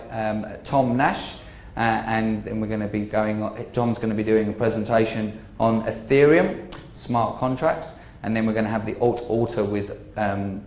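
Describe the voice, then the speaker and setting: A man's voice: lowish voice, a little mellow. A man hosting a community meetup, introducing speakers in a hesitant way full of ums and so-yeahs. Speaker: meetup host. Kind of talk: casual event hosting. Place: meetup venue with audience. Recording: echoey room with crowd.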